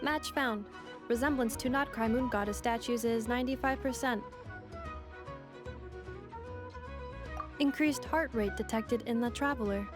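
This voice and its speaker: A woman's voice: a little high, moderate pace, over music, natural voice. A woman voicing a polite, analytical female robot helper in a video game, with precise, formal, matter-of-fact phrasing.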